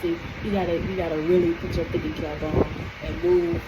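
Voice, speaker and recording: A woman's voice: on the low side, level tone, a little fast, highs cut off. Chatty American woman vlogging to camera. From United States. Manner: chatty, emphatic, often checks in with "okay?". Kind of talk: casual to-camera advice vlog. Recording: noisy room with air conditioning hum.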